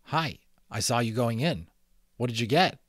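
A man's voice: mid-range voice, expressive, moderate pace, a bit bright, breathy edge, no echo, studio quality. A man voicing a skeptical schoolboy friend in a storybook reading, sarcastic and doubting. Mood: casual.

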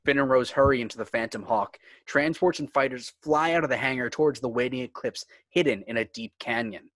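A man's voice: medium pitch, brisk, a bit bright, no echo. A man reading screenplay stage directions as dramatic scripted narration.

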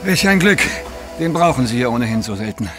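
A man's voice: medium pitch, animated, brisk, over music, close to an everyday voice. An actor playing a dry, sardonic male travel companion in an audio drama. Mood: dry mockery.

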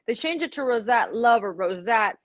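A woman's voice: on the high side, normal speed, no echo. Frank woman guest on a dry video call. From Middle East. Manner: frank, animated, gestures while talking. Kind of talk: candid conversational storytelling. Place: home room, indoors. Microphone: webcam on a video call.

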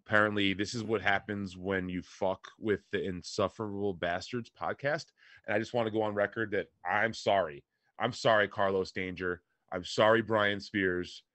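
A man's voice: on the low side, steady tone, normal speed, fairly bright tone, no room sound. A man co-hosting a casual comedy podcast on a video call, joking in a mock-dramatic way.

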